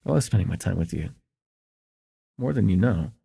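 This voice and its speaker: Guy playing a shy lovestruck college boy, husky n a bit gravelly, voice moves around a lot, asmr roleplay. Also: sits low, animated, moderate pace, full character voice. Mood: warm, teasing. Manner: hesitant, earnest, stammering, chuckling softly between phrases. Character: shy, smitten young student confessing to a close friend. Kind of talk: intimate ASMR roleplay acting.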